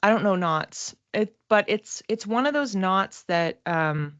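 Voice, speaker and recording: A woman giving a casual livestream psychic reading, talking to viewers conversationally and thinking aloud in halting phrases. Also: medium pitch, expressive, a bit bright, dry recording, dull audio. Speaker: psychic reader and class teacher.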